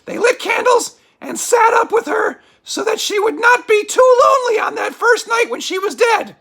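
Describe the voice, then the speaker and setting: A woman playing an excitable, easily sidetracked spooky storyteller for a comic puppet show, with exclamatory, drawn-out dramatic delivery. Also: very high-pitched, raised voice, full character voice. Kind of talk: theatrical comic reading of a scary story.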